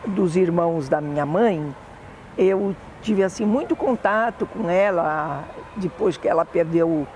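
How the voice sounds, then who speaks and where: An older woman with a Brazilian Portuguese accent, fondly recalling family memories in an oral history interview, often pausing to correct herself. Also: on the low side, a little mellow, a little hiss or hum. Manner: fond, meandering reminiscing with self-corrections.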